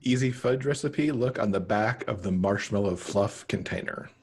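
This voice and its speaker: A middle-aged man with a lowish voice and a husky grain. He talks casually as an author guest on a video call from home. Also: moderate pace. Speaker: guest, novelist. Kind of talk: casual chat about own book.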